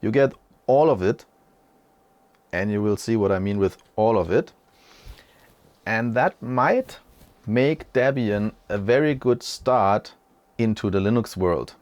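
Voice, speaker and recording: A man's voice: lowish voice, takes it slowly, long pauses, darkish tone, no echo. A man in his forties hosting an unscripted tech walkthrough from a small room at home, rambling casually and correcting himself as he goes. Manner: rambling, self-correcting, chatty. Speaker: tech channel host.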